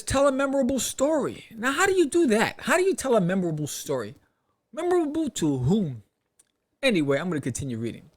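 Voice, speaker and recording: A man with a somewhat high-pitched voice and plenty of gravelly fry hosts a podcast. He gives casual advice, reading passages aloud and commenting on them. His delivery is animated, punctuated with rhetorical questions and check-ins. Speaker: podcast host.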